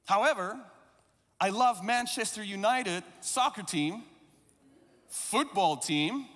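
A man's voice: high-pitched, a bit bright, in a large hall. A man from South Africa, English not his first language, preaching a sermon in a reflective, conversational way with a few self-deprecating asides. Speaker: pastor.

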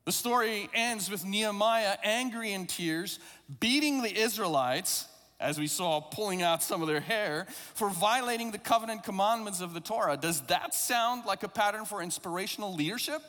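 South african guy preaching, pretty high voice, english is his second language, long echo like a big church hall. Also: moderate pace, pushed and bright. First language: not English. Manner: reflective, conversational preaching with occasional self-deprecating asides. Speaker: pastor. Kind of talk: sermon.

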